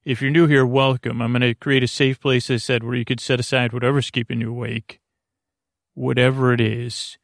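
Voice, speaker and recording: Man, medium pitch, creaky fry, hesitant rambling, lots of filler words, gentle sleep podcast host. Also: dry recording, studio quality. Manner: meandering, hesitant, filler-heavy with tangents; gentle, soothing, quietly whimsical. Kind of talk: rambling bedtime monologue.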